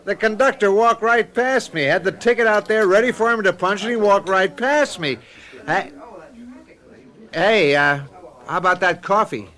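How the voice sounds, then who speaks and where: An actor playing a brash, irritable advertising copywriter in a radio drama, blunt and insistent. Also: male voice, sits high, normal speed, fairly bright tone. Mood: breezy, then puzzled.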